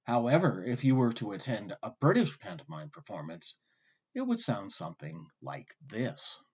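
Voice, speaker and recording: A man hosting with scripted documentary narration, delivered in a wry, articulate storyteller's way with deliberate emphasis. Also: mid-range voice, wildly swooping pitch, darkish tone, highs cut off. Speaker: host and narrator.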